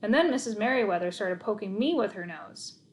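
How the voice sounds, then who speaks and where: A woman narrating a children's story aloud in an expressive read-aloud style. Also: medium pitch, a little fast.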